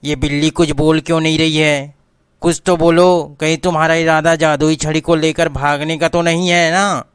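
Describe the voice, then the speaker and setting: A man's voice: fairly high pitch, little pitch movement, moderate pace, clear, resonant tone, no room sound, clean studio sound, close to an everyday voice. A man voicing a scheming talking dog in a cartoon story, speaking in a plain, bossy way.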